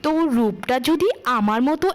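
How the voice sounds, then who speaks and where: Woman acting a haughty, high-voiced spoiled young sister. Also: expressive, normal speed. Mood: scornful, scolding. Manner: haughty, scolding, self-admiring. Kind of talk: children's moral cartoon dialogue.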